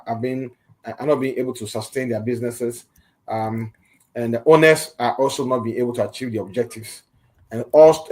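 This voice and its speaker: A man's voice: mid-range voice, darkish tone, low-quality recording. A middle-aged man, speaking earnestly as a radio interview guest and often restarting his phrases. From Ghana.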